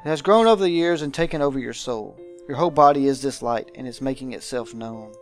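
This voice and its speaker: A man voicing a visual novel's aristocratic guardian, pompous, dramatic and deliberate, with menacing affection. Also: medium pitch, soft background music, close to an everyday voice. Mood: grandiose joy.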